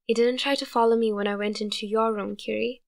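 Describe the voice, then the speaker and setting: A woman's voice: fairly high pitch, fairly even intonation, brisk, vocal fry. As a woman reader, speak as an anxious young woman, urgent and pleading.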